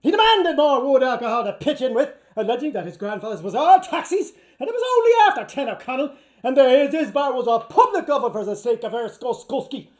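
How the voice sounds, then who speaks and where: A man's voice: extremely high pitch, a little fast. Theatrical Irish man reading literary prose. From Ireland or Canada. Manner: animated, declamatory, swinging between murmurs and loud outbursts. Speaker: actor performing a literary reading. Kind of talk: theatrical live reading of a novel.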